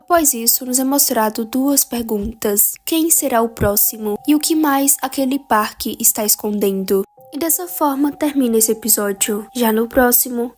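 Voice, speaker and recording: A woman narrating a recap of a horror video series in a steady, explanatory way. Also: a little high.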